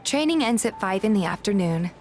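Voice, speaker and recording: A woman narrates a scripted romantic story in the first person, reading both description and dialogue. Her pitch sits in an average female range, and music plays behind her. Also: expressive, a little fast, darkish tone. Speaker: narrator and voice actor of a fan dub.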